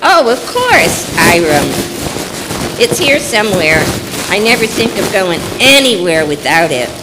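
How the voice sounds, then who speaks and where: A woman's voice: medium pitch, very animated, a little slow, very noisy. A woman playing a prim, cheerful American wife and mother in a live old-time radio play, with an American accent. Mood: brightly fussy. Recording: echoey hall stage recording.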